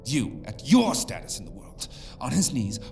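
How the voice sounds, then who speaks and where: A man's voice: medium pitch, huge pitch swings, normal speed, vocal fry, darkish tone, faint music behind. An actor playing a harsh, domineering royal father in an audio drama, stern, scolding and full of imperious contempt. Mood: angry, scornful.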